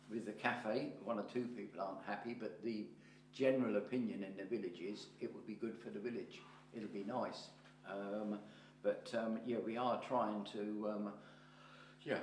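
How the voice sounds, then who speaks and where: Bloke chairing a parish meeting, kinda low voice, stops and restarts a lot, echoey room. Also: male voice, a little mellow. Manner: halting, many ums and restarts. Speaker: council chairman. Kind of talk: meeting chair giving a report. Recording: roomy hall with faint hum.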